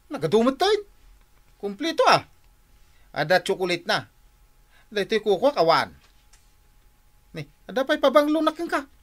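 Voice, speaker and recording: An excitable family man in an Ilocano radio drama. His forceful voice swings widely as he grumbles and exclaims theatrically in an Ilocano accent. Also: high voice, moderate pace, pauses often. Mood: excited. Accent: Ilocano, northern Philippines.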